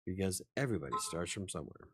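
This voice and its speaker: A man with a low, slightly raspy voice talks casually as a show host. He rambles, laughs easily and swears now and then. The sound is dry and close, with little room echo. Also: expressive, quick pace. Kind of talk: casual chat.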